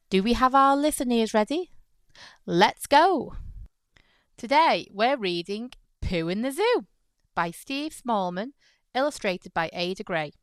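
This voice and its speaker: A woman hosting a children's storytime, reading a picture book aloud in an expressive, playful way. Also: on the high side, clear, resonant tone, no room sound, clean studio sound. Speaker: children's storytime host and narrator. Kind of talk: animated read-aloud narration of a picture book.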